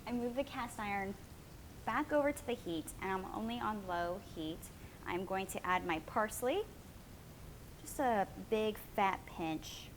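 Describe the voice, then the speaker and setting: A woman's voice: mid-range voice, measured pace, slight background noise, rough recording. Woman hosting a casual, playful vlog. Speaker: fishing and cooking vlog host. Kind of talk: casual vlog, cooking walkthrough.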